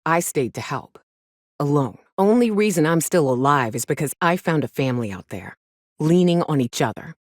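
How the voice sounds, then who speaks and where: A woman's voice: deep voice, lively intonation, moderate pace, no room sound, clean studio sound. An actress playing a jaded, hard-drinking frontier mercenary woman in a video game, blunt, sardonic and defiant, with salty phrasing. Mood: grim, reflective. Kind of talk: video game character dialogue.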